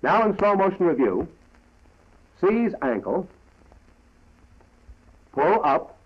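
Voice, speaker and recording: A man's voice: sits high, very animated, slow pace, slightly rough. An American man narrating a 1940s military training film in a formal, clipped, instructional manner, on a dull vintage soundtrack.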